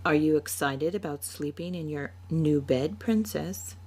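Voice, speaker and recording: A woman's voice: low voice, a little slow, a little mellow, slightly rough, heavily acted. A woman voicing a tender, proud, big-bodied father in a storybook reading. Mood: gentle, teasing. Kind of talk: storybook character voice.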